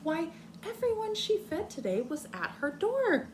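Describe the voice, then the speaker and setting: A woman teacher reading a story aloud to young children in an expressive, deliberate way, pausing to put questions to them. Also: very high-pitched, slight background noise.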